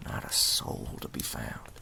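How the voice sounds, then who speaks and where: A man playing a wry animal storyteller in a campfire ASMR roleplay, speaking slowly and ominously in a gravelly half-whisper. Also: background noise, close to an everyday voice. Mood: ominous storytelling. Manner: slow, deliberate, folksy, ominous.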